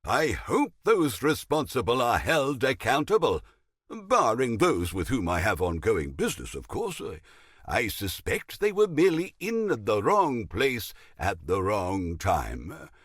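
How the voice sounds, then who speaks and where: A man's voice: medium pitch, huge pitch swings, a little slow, fairly bright tone, slightly rough, dry recording, clean studio sound, exaggerated voice. A man playing a middle-aged, pompous, scheming naval captain and shady fence in a video game, theatrical and unctuous as he savors his words. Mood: feigned regret. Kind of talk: video game character dialogue.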